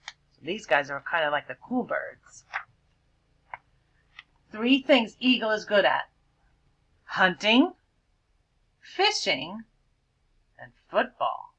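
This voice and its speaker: A woman teacher reading a picture book aloud to children, expressively, stretching words and dropping into hushed explanatory asides. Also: medium pitch, very animated, slow, long pauses, husky. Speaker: elementary school teacher.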